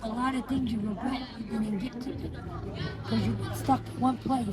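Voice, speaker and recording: A woman's voice: a little high, steady tone, normal speed, music in the background, a crowd in the background. A woman in her fifties working as a psychic, giving readings in short, declarative statements outdoors. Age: 50s. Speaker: psychic card reader. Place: outdoors in a public square.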